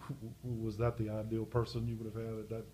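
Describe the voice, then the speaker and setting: Low, flat-voiced man reporter. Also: quick pace, a little hiss or hum, rough recording. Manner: casual, chatty questioning with fillers. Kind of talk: press conference questions.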